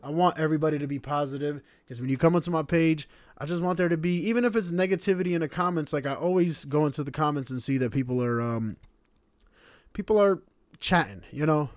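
A man around thirty hosting his own podcast in a casual, hyped, slangy monologue with sudden high shouts. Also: quick pace, no echo. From grew up in the United States. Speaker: podcast host.

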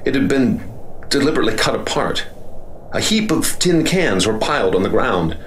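A middle-aged actor narrating in the first person as a professor leading an expedition, in a measured, grave manner. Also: male voice, mid-range voice, sing-song, fairly bright tone, slight background noise, rough recording.

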